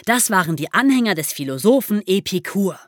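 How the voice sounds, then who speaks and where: A woman hosting a podcast, explaining history as lively storytelling in simple, conversational terms. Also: medium pitch, a little fast, no room sound, clean studio sound. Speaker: podcast host telling history stories.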